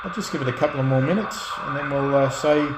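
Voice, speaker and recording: A middle-aged man in a relaxed off-the-cuff chat as a radio hobbyist, speaking in a halting, reflective way and often restarting his phrases. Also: on the high side, very noisy. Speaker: radio hobbyist and restorer presenting own channel.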